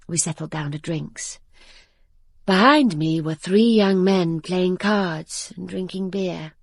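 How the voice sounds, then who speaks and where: An actress playing a guarded young bride-to-be who works in a garage, reflective and controlled, in a radio drama. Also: female voice, on the low side, gravelly, slightly rough, no echo, heavily acted. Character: young garage-working bride-to-be, guarded, suspicious, narrating her betrayal. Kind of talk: radio drama acting with confessional narration.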